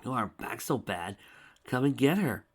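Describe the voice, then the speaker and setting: A man's voice: medium pitch, very animated, normal speed, slightly rough, pitched above the performer's own voice. A man voicing an insane male alicorn villain who taunts and snickers at his foe. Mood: taunting.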